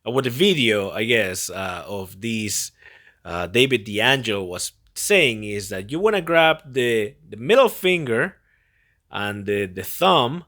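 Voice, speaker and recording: Halting man, bright voice. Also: mid-range voice. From born in Peru, grew up in Spain. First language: Spanish. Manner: halting and rambling, frequent self-repetition. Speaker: podcast host. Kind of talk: casual solo podcast monologue.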